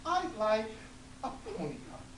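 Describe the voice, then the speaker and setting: A woman doing a shrill, greedy old woman from a folktale, her voice leaping high and loud as she pleads and demands. The voice swoops widely and rings in an echoey room, picked up from a distance over a steady hum. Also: measured pace. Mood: pleading.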